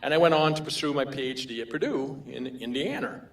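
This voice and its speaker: A man's voice: medium pitch, lively intonation, brisk, gravelly, noisy background. An older man with a Maine accent giving a humorous award acceptance speech, telling anecdotes with chuckles. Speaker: award recipient. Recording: roomy hall echo.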